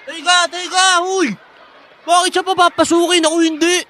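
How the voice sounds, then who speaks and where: A Filipino man acting a brash, cheeky high school student of about twenty in a radio drama. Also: little pitch movement, normal speed, sharp tone. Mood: indignant, loud. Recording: music under the voice.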